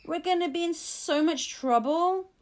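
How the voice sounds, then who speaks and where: A woman voicing an excitable, easily horrified young boy in a storybook reading, exclaiming and questioning eagerly. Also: extremely high pitch, measured pace, pitched above the performer's own voice. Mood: anxious.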